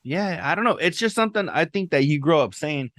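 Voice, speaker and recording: A man's voice: on the high side, animated, a little fast, fairly bright tone, dry recording. A young man co-hosting a podcast, chatting casually and telling stories with slang and a few Spanish words. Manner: laid-back, storytelling with slang and Spanish words. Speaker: podcast co-host. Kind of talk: casual chat.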